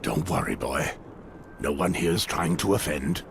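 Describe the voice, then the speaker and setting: An actor playing a taciturn, seasoned warrior of a fantasy watch in a video game, gravelly and dry. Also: male voice, extremely low pitch, lively intonation, a little slow, background noise, exaggerated voice. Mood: calm. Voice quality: gravelly, creaky. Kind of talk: video game cutscene acting.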